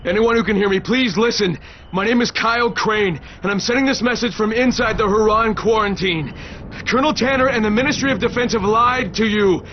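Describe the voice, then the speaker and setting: A man playing a gruff, sardonic undercover operative in a zombie outbreak video game. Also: sits high, a little fast, muffled recording, slight background noise, lightly acted. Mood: urgent, grave. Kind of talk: video game cutscene acting.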